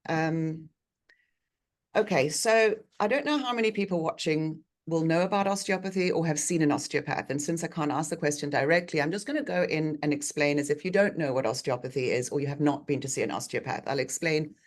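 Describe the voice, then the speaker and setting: A woman in her forties from South Africa, an osteopath giving a chatty online talk with frequent ums, on a video call from a bright treatment room. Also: deep voice, fairly quick. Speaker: osteopath guest speaker.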